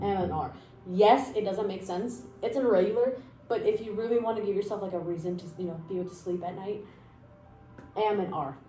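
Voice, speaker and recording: A woman's voice: medium pitch, warm tone. A young woman from Mexico, giving a casual spoken language lesson in a chatty, encouraging way with fillers and asides. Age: 20s. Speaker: language teacher. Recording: roomy echo with faint music.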